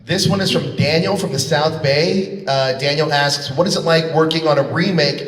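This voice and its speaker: A man's voice: on the high side, moderate pace, a bit bright. A man moderating a convention panel, posing interview questions to guests. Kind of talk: interview questions, reading out audience questions. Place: large convention panel hall. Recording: echoey hall, distant PA sound.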